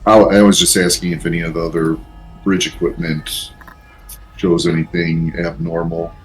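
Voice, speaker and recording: A man's voice: deep voice, level tone, normal speed, faint music behind, poor audio. A man chatting casually as a player in a tabletop roleplaying game.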